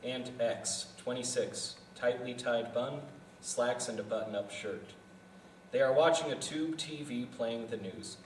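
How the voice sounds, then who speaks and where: A man's voice: medium pitch, measured pace, recorded from a distance. Guy reading screenplay directions plainly, echoey room, faint noise. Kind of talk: scripted narration, live table read. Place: festival venue room.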